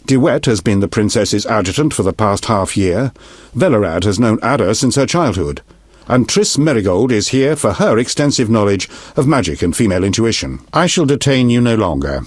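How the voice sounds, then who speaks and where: An actor playing a stern, shrewd middle-aged king in a video game, speaking formally and deliberately with a husky, commanding voice. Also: fairly low pitch, sing-song, darkish tone, dry recording, clean studio sound. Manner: formal, measured, imperious, deliberate diction. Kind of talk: scripted video game dialogue.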